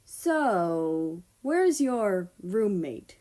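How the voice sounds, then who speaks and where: A woman's voice: medium pitch, big pitch swings, extremely slow, mellow. A woman voicing a teasing young man, a personified country in a story, with casual, sarcastic banter.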